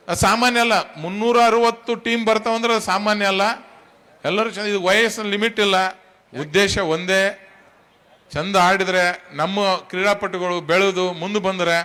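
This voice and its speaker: Middle aged guy w north karnataka accent making a speech, high bright voice, talks straight to the people, really emphatic. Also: steady tone, moderate pace, long pauses. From Karnataka, India. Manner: emphatic, colloquial, addressing listeners directly. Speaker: tournament organiser. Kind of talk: public speech at a launch event.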